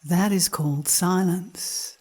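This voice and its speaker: A woman reading spiritual teachings as a guided meditation, in a calm, measured and contemplative manner. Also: on the low side, husky.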